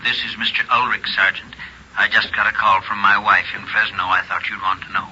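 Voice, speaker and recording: A man's voice: on the low side, level tone, fairly quick, pushed and bright. An American actor playing a worried, cooperative middle-aged father, earnest and plain-spoken, in a 1950s radio drama. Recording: muffled, noisy old radio recording.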